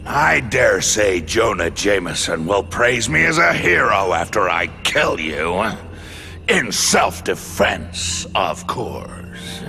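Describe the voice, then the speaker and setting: Smug scheming game villain, slow n pompous, creaky edge, music behind him. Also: male voice, fairly low pitch, very animated, exaggerated voice. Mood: smug, menacing. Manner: pompous, theatrical, measured with sudden shouts. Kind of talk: dramatic video game villain acting.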